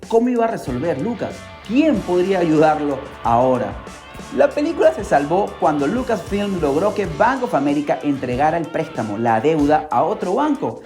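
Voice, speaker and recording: A man's voice: a little high, a little mellow. A man with a Peruvian accent hosting a podcast, telling a story in a colloquial, dramatic way with rhetorical questions. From Peru. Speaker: podcast host. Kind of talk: animated storytelling podcast narration.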